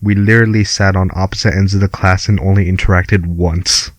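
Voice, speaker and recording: Deep-voiced young man with a Filipino accent. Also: steady tone, fairly quick, no echo. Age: young adult. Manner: laid-back, laughs often, swears casually. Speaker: podcast co-host. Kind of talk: casual chat. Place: at home, remote call.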